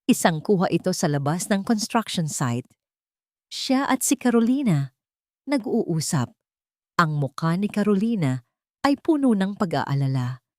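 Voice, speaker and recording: A woman's voice: fairly low pitch, very animated, unhurried, pauses often, dry recording, studio quality. A woman reading a scripted dramatic love story as narrator.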